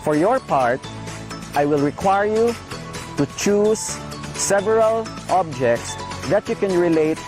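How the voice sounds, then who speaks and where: A man's voice: sits high, lively intonation, slow. A man giving a scripted art lesson as a professor. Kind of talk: scripted instructional video lecture. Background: music.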